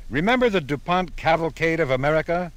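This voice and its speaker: A man's voice: on the high side, expressive, a little fast, noisy background. A man hosting a retrospective, narrating in a wry, reminiscing style. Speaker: narrator and host.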